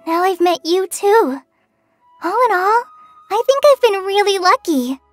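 An earnest young girl ranger from a fantasy video game, with a very high, youthful voice. She speaks softly and hesitantly, sincere and a little shy. Light music plays behind her. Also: animated, unhurried, no room sound. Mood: grateful, reflective. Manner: hesitant, soft-spoken, sincere. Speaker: game character voice. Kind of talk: scripted video game character lines. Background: background music.

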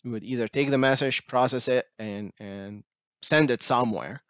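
A man's voice: medium pitch, moderate pace, fairly bright tone, dry recording. A man giving a technical talk over slides, explaining step by step with frequent "uh" fillers. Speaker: presenter.

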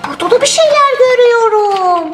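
A woman's voice: extremely high pitch, expressive, brisk. A woman voicing a playful, greedy toy turtle in a children's toy story, exclamatory and sing-song. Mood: excited. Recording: roomy sound with music underneath.

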